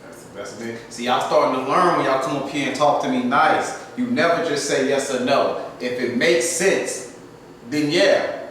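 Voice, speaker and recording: A man hosting a podcast, asking relaxed questions and chiming in with short agreements. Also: medium pitch, moderate pace. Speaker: podcast host. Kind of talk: casual interview. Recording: echoey room, distant mic, faint noise.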